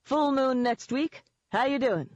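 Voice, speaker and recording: Woman voicing a smug gloating man, pitched up. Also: expressive, normal speed, dry recording, dull audio, natural voice. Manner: cheerful, taunting, firmly in control. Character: smug, gloating man wheeling and dealing by phone.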